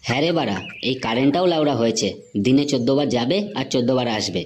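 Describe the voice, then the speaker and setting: A man's voice: medium pitch, expressive, racing, noisy background, close to an everyday voice. A man voicing a cocky, irritable young slacker in a comedy cartoon, with brash slangy retorts. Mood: griping.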